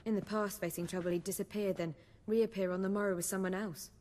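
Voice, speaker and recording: A woman's voice: mid-range voice, steady tone, quick pace, warm tone, a little hiss or hum, natural voice. Game role, woman acting: young female bard, medium register, elegant wry phrasing, long echo on the voice. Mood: pensive. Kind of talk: video game cutscene dialogue. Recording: long hall-like reverb.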